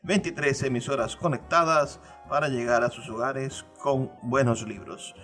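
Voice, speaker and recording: A middle-aged man hosting a radio show, talking in an earnest, musing way with pauses and repeated phrases. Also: mid-range voice, a bit bright, soft background music. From Venezuela. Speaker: radio host. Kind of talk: reflective radio monologue.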